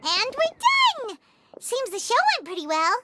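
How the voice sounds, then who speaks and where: A woman's voice: extremely high pitch, sing-song, normal speed, heavy background noise. A woman voicing a tiny childlike fairy companion, bubbly and exclamatory, in a video game. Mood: cheerful. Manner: bubbly, exclamatory, refers to self in third person.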